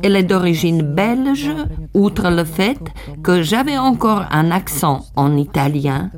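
A woman reading a first-person translation of an interview as a voice-over, in a calm, even way. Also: lowish voice, slow.

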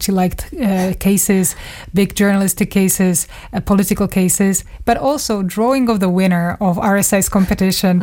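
A woman's voice: mid-range voice, darkish tone. Woman reminiscing in a radio studio. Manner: thoughtful, laughs between phrases. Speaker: radio journalist. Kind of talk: conversational reminiscence.

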